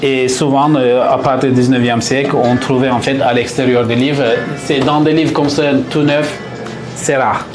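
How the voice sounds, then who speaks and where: A man from Istanbul with a Turkish accent, explaining his paper marbling craft to visitors in his small workshop, in halting French with many pauses. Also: medium pitch, flat delivery, roomy sound, music in the background. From Turkey (Istanbul).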